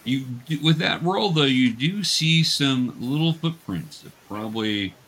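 A man's voice: mid-range voice, lively intonation, a little slow, a bit bright. A man acting as game master, conversationally narrating a tabletop game and patiently explaining things step by step to young players.

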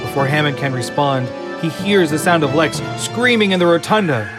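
A man reading scripted narration for an audio drama in a measured, often slow and hushed way. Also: fairly high pitch, lively intonation. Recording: clear music underneath.

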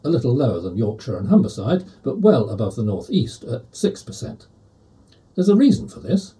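Man reading aloud with a dark, lively voice. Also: mid-range voice, poor audio. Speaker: volunteer article reader. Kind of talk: reading an opinion article aloud.

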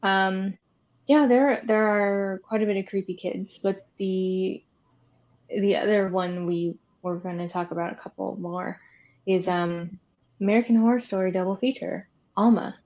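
The audio is a little dull and lo-fi. A woman with a mid-pitched voice co-hosts a casual podcast, talking in an informal, chatty way and tossing in wry, opinionated asides. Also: fairly even intonation, unhurried, a little mellow. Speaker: podcast co-host. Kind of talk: casual chat about horror films.

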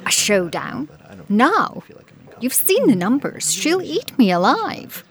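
Timid female sales executive: highish, slow, animated, nervous and hesitant. Radio-play style. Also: heavily acted. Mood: fretful. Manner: hesitant, fretful. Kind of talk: campy audio drama acting.

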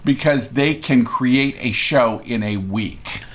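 A man's voice: mid-range voice, slow pace, a bit bright. A man co-hosting a podcast in casual chat, rambling with fillers and fond of puns. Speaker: podcast co-host.

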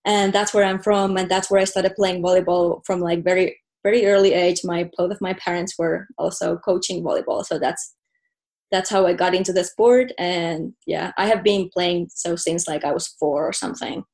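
A young woman with a Finnish accent talks as a guest on a podcast, her voice sitting a little low for a woman. She chats loosely and keeps reaching for filler words, restarting her sentences as she goes. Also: steady tone, normal speed, darkish tone. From Finland. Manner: chatty, many "like" fillers and restarts. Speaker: guest, professional volleyball player. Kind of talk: casual interview chat.

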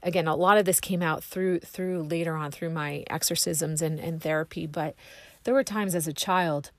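A woman with a raspy, hoarse voice from a cold, leading a prayer and then sharing her personal testimony softly and hesitantly. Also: low voice, steady tone, normal speed, dry recording. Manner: soft, hesitant, full of ums and restarts.

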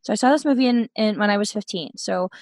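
Woman, late thirties, giggly storyteller, mid pitch, dry sound. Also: fast talker, a little mellow, no echo. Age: 30s. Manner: chatty, giggly, self-deprecating. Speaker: podcast guest. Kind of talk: casual storytelling chat. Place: her bedroom.

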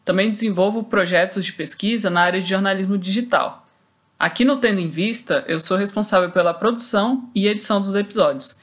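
A woman's voice: mid-range voice, fairly quick. A young woman from Brasília, the podcast's producer and editor, introducing herself in a casual, joking way. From Brasília, Brazil. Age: young adult.

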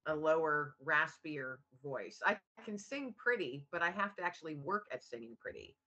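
An American woman guest on a podcast, upbeat and encouraging, with a low raspy, gravelly voice. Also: expressive, moderate pace, bright, forceful tone, rough recording. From United States. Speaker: guest, author. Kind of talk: casual chat.

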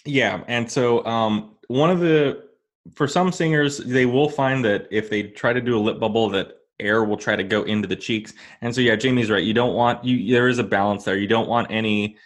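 A man hosting a podcast, explaining singing exercises in a relaxed, easygoing way. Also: medium pitch, normal speed. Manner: relaxed, thinks aloud with fillers. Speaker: podcast host, vocal coach. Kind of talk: casual teaching chat.

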